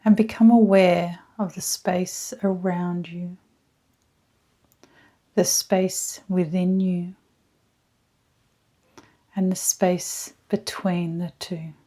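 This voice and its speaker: A woman leading a scripted guided meditation in a soft, at times breathy voice, with hushed, soothing, deliberate phrasing and frequent pauses. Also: lowish voice, flat delivery. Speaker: guided meditation leader, energy healer.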